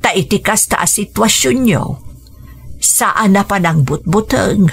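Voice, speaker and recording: A woman playing a caring, humble older mother in a radio drama, gentle, hesitant and fretful in manner. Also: mid-range voice, lively intonation, measured pace, a little hiss or hum. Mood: earnest.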